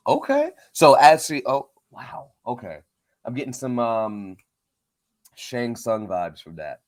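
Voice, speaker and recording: A man hosting a show, chatting casually in an informal, halting way as he thinks out loud. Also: medium pitch, big pitch swings. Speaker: host of a comics breakdown show. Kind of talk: casual interview chat.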